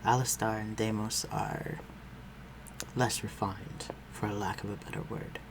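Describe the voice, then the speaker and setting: A man playing a refined, protective vampire lord in an intimate audio roleplay, speaking formally and with measured command. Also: on the low side, level tone, noisy background. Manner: formal, measured, archaic phrasing, dropping to breathy murmurs.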